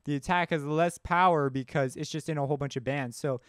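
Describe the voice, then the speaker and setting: Smooth higher-voiced man giving a software tutorial. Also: a little fast, no room sound, studio quality. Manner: informal, explaining step by step as he demonstrates. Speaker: music production tutorial host. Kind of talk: casual screen-recorded software tutorial.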